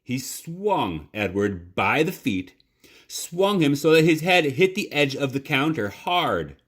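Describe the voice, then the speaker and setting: A man reading a children's story aloud in a measured, dramatic, expressive narration. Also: a little high.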